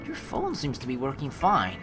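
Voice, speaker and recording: A young woman reading a visual novel aloud with joking, swearing asides, animated and quick to laugh. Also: rumbling low, music in the background. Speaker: let's play streamer reading a visual novel.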